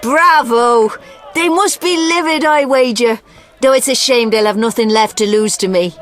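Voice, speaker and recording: A woman's voice: on the high side, normal speed, a bit bright, lightly acted. A woman playing a flirtatious, worldly gambler with a coy, teasing manner, in a video game.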